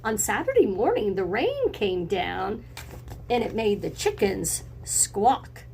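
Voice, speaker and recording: A woman's voice: mid-range voice, big pitch swings, slow, gravelly, darkish tone, a little hiss or hum. A woman teacher reading a picture book to young children, speaking expressively in simple phrases and putting encouraging questions to her listeners. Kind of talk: read-aloud lesson for children, with questions to listeners.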